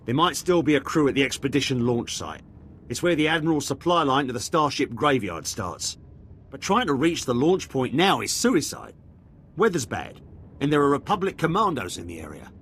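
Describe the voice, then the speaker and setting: An actor playing a loyal, defensive army captain in a video game, with a clipped military manner. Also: male voice, mid-range voice, fairly bright tone, a little hiss or hum. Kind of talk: dramatic video game voice acting.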